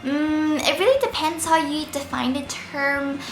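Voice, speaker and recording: A woman in her twenties with a squeaky voice made a little husky by a sore throat, chatting playfully while answering viewer questions at home. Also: a little slow, echoey room, poor audio. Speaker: vlogger. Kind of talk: casual Q&A vlog. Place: indoors at home, seated by window light.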